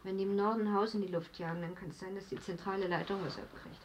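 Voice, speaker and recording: An actress in a German radio drama playing a weary, matter-of-fact wife who talks in terse, practical sentences. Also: female voice, medium pitch, steady tone, quick pace, slight background noise, lightly acted.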